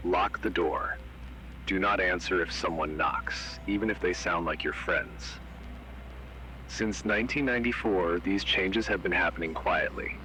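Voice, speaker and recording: Brief: man playing a deep-voiced horror-broadcast announcer, flat and commanding, with a noisy hiss behind. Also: measured pace. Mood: ominous. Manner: flat, ominous, commanding warnings. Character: grim male emergency broadcast announcer. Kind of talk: analog horror warning broadcast.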